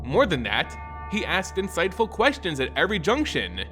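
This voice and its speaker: Animated, high-pitched male story narrator over music. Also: normal speed. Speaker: lore channel narrator. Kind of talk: dramatic scripted story narration. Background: background music.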